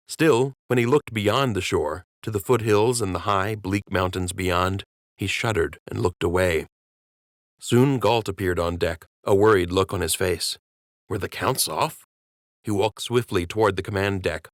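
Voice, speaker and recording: A man narrating an audiobook adventure in a steady, clear storytelling manner. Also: lowish voice, no echo, clean studio sound.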